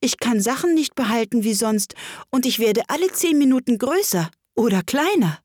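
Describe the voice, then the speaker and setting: Storybook young girl, played by a woman: courteous, bewildered, a touch indignant, lifted higher. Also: expressive, moderate pace, a little mellow, dry recording, clean studio sound. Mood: downcast. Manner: earnest, polite, hesitant.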